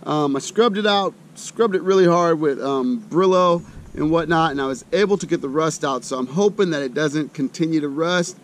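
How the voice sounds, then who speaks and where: A middle-aged American man chatting casually in a folksy, colloquial way, thinking aloud as he demonstrates camp cooking. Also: moderate pace. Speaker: host of an outdoor cooking channel. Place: outdoors. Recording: outdoor recording with loud ambient rumble.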